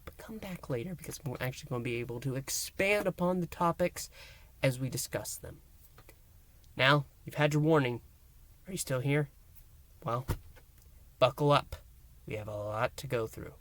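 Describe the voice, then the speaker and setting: A man hosting a review and recap, speaking in an emphatic, theatrical way with dramatic pauses. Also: medium pitch, a bit bright. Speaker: host, reviewer. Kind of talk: enthusiastic scripted-style recap and review to listeners.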